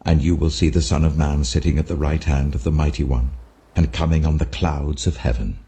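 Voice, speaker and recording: A man with a British accent voicing a grave, sorrowful but commanding male teacher in a scripture reading, husky and weighty. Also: fairly even intonation, mellow, full character voice. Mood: solemn authority. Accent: British Received Pronunciation. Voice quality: deep, husky. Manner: slow, weighty, admonishing.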